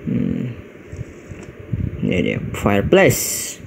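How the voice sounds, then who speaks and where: A man's voice: rumbling low, wildly swooping pitch, takes it slowly, gravelly, background noise. A man with an Indonesian accent giving playful, exclamatory, casual commentary as he plays a game. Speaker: gaming video creator.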